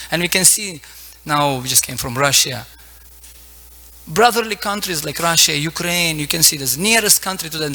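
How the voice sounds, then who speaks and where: A man with a fairly high, bright and forceful voice delivers a devotional lecture. He speaks English as a second language, in short broken phrases, pausing to search for words as he explains scripture. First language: not English. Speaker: preacher giving a scripture lecture. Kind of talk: devotional sermon with Sanskrit quotations.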